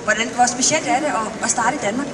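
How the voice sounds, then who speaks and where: Woman event host, Danish accent, fairly high voice, live stage interviewing, far from the mic, crowd behind. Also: background noise. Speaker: event presenter interviewing riders. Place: public team presentation event. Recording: distant PA in echoey crowded hall.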